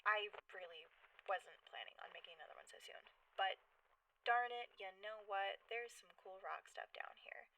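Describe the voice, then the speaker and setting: A woman playing an anxious, rambling geologist stranded in alien caves, recording a halting audio log in a found-footage sci-fi drama. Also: mid-range voice, sharp tone, distant mic, rough recording. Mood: forced cheer. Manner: rambling, halting, nervously self-distracting.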